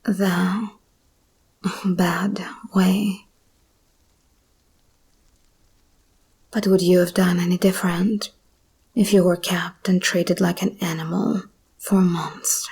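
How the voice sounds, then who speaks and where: A woman voicing a seductive, menacing female monster hybrid in an ASMR roleplay, speaking in a breathy whisper with slow, deliberate, teasing delivery. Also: lowish voice, flat delivery, a little mellow, full character voice. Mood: bitter, menacing.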